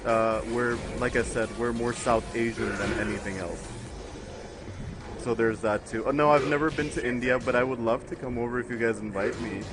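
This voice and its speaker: A man's voice: mid-range voice, steady tone, normal speed, over music. A young man from the United States chatting loosely as a guest commentator on a fighting game stream, with lots of fillers and frequent laughs. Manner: rambling, laughs often, many fillers. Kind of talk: casual chat with stream chat.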